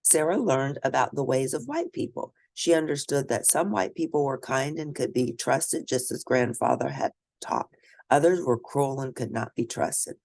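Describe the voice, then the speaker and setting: A woman reading a children's story aloud and discussing it in an expressive, teacherly manner. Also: extremely low pitch, normal speed, vocal fry, no room sound, studio quality. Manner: expressive, teacherly, asks questions of listeners. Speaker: storybook reader.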